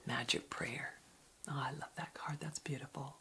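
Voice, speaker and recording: A woman giving an ASMR card reading in a soft, breathy whisper, with a gentle, reflective, unhurried manner. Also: a bass voice, steady tone, slight background noise. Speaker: card reader.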